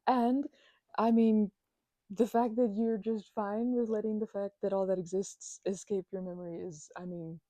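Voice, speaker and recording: A woman's voice: mid-range voice, fairly even intonation, normal speed, dark tone, no room sound, lightly acted. A woman playing a chatty, playfully indignant housemate recovering from a migraine, rambling and teasing in an intimate ASMR roleplay. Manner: rambling, hesitant, teasing, mock-offended. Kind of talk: intimate ASMR roleplay, improvised-sounding conversation.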